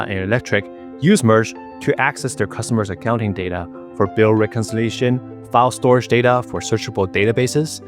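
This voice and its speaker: A man hosting a podcast, speaking as an interviewer and presenter. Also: lowish voice. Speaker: podcast host. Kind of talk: casual interview talk and scripted sponsor read.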